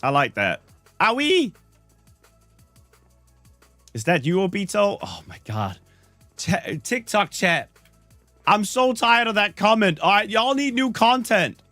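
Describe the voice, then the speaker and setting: A Danish-accented man streams and chats while gaming, teasing and joking with his viewers. His voice sits a bit high and jumps around a lot in pitch. The sound is dry and close, with faint music underneath. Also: very animated, takes it slowly, long pauses, bright, forceful tone, dry recording. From Denmark. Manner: joking, teasing, rambling to chat. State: slightly under the weather. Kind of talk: live-stream chat while playing a game.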